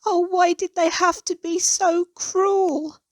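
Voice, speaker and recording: A woman's voice: extremely high pitch, flat delivery, takes it slowly, no echo, studio quality, voice pushed up. A woman voicing a small, sensitive but plucky mother goose in a children's storybook, with emphatic, emotional delivery. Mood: sobbing, distressed.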